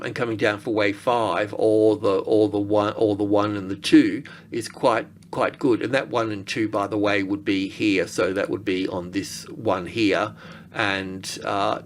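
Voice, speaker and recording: Low-voiced man over background noise. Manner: halting, thinking aloud. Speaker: market analyst presenter. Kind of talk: informal technical chart commentary.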